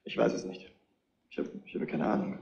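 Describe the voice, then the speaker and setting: An actor playing a defiant but cowardly betrayed husband under suspicion, talking defensively in a retro crime radio play. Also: male voice, brisk, a little mellow, lo-fi sound, close to an everyday voice. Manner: defensive, challenging.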